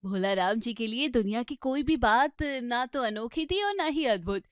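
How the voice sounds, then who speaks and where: A woman narrates a comic bedtime story from a script. Her voice sits very high, and the recording is dry with hardly any room sound. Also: expressive, moderate pace, clean tone, dry recording.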